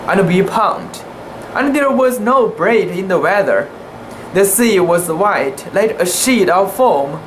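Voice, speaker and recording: Slow, careful amateur reader, faint background hum. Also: medium pitch, poor audio. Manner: halting, careful reading with imperfect pronunciation. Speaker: amateur narrator. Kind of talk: dramatic reading of a literary sea story.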